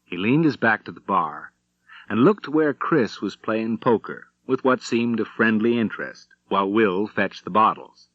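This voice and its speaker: A man narrates a western audiobook in a bright, forceful voice, pitched a little low. His storytelling is measured and dramatic, recorded very dry with a slightly dull, lo-fi sound. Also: lively intonation, no echo. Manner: measured storytelling with acted dialogue.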